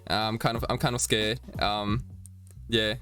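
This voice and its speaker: A man of twenty-nine from Germany chats casually as a podcast host, rambling and halting with many fillers. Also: on the low side, flat delivery, sharp tone. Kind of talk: casual chat. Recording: music behind the voice. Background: faint background music.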